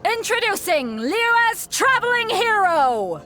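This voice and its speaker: A woman's voice: very high voice, very animated, takes it slowly, sharp tone, soft background music, heavily acted. An actress playing a bold female ship captain in a video game, announcing a tournament in a commanding, showy manner.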